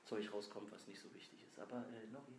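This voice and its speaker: A man's voice: fairly low pitch, steady tone, fast, darkish tone. A man with a cold hosting a casual live stream, joking in a mock-formal way. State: has a cold. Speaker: stream host and band member. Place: small indoor room, seated on a sofa. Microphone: camera a few feet away. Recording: roomy with faint noise.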